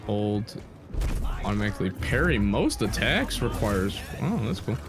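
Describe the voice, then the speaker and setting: A man chatting casually over a game, excitable and cursing freely. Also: fairly low pitch, moderate pace, over music. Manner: excitable, profane. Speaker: co-commentator. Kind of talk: casual chat over gameplay.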